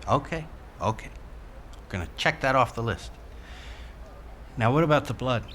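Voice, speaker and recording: A man's voice: medium pitch, lively intonation, normal speed, a bit bright, a little hiss or hum, natural voice. An actor playing a patient, streetwise negotiator in a naturalistic audio drama, folksy and placating, with some hesitation as he coaxes. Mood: careful, frank. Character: streetwise, patient male negotiator coaxing someone off a ledge.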